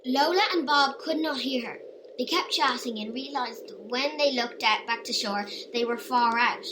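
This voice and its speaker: A woman's voice: high voice, normal speed. A woman reading a scripted story as narrator. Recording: noisy amateur home recording.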